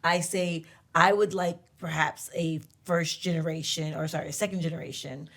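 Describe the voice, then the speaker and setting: A woman's voice: sits low, fairly even intonation, normal speed, gravelly. A woman co-hosting a talk show, sharing her views in casual discussion.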